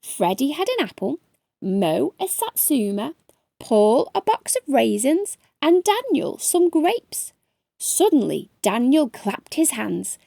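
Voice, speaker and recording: Female storyteller, high lilting pitch, careful expressive phrasing, children's read-aloud, close dry sound. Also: slow, gravelly, dry recording.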